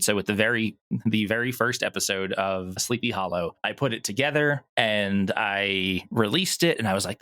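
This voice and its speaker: A man's voice: on the low side, sharp tone, clear, resonant tone, no echo. A man talking casually as an interview guest, explaining things earnestly. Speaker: podcast guest, audio drama producer.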